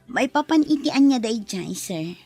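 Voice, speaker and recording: A woman's voice: fairly high pitch, big pitch swings, unhurried, mellow, breathy edge, faint music behind, exaggerated voice. A woman acting in a radio drama as a loyal friend and employee, with a conversational delivery. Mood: deferential. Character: loyal, teasing female friend, deferential employee.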